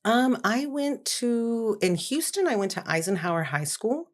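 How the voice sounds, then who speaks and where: A woman's voice: fairly high pitch, lively intonation, unhurried. A woman in her forties from Texas, a guest in a podcast interview, telling her story in a chatty way with frequent laughter. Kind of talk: casual interview chat.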